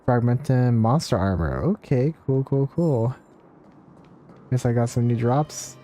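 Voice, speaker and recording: Male streamer, mid-pitched and mellow, offhand live gameplay commentary over faint game music. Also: takes it slowly. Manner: offhand, reads dialogue aloud between asides to chat. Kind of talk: casual live gameplay commentary, reading out quest dialogue.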